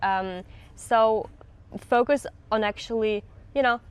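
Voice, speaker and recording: A woman's voice: a little high, slow pace, smooth. A woman with a Russian accent, a native Russian speaker, patiently coaching a student on English pronunciation and modeling sounds for him. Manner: patient, explains with examples. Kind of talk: teaching pronunciation.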